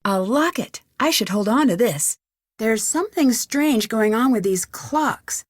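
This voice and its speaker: A woman playing an inquisitive, level-headed young detective thinking aloud in a video game, earnest and crisp. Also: on the high side, lively intonation, a little slow, no room sound, clean studio sound. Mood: focused, pondering.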